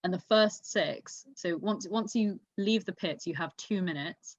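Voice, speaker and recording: A woman's voice: fairly low pitch, a little slow. A woman chatting casually about motorsport as a podcast co-host, giving her opinions emphatically. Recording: echoey room, dull lo-fi mic.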